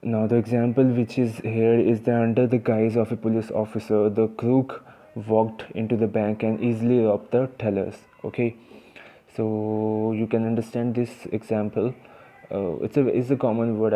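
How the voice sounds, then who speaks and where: A man's voice: on the low side, level tone, moderate pace, dark tone. A man with an Indian accent teaching English vocabulary in a casual, hesitant way, with many fillers and restarts. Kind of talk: informal vocabulary lesson.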